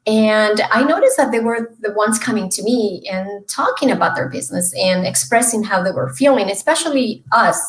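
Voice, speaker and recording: Woman from mexico chatting on a video call, earnest, lots of ums, middle pitched voice, roomy sound. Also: expressive, normal speed, hardly any pauses. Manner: earnest, with many fillers and restarts. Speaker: guest, small business loan expert. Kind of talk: casual interview chat. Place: home room.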